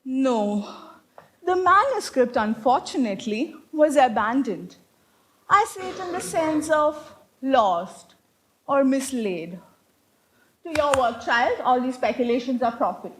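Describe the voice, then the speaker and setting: A woman's voice: high-pitched, lively intonation, takes it slowly, a little hiss or hum. A woman playing a strict, prim Victorian governess in a stage comedy, pompous and given to moralizing. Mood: lofty, proud. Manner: stern, pompous, declamatory. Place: live stage play.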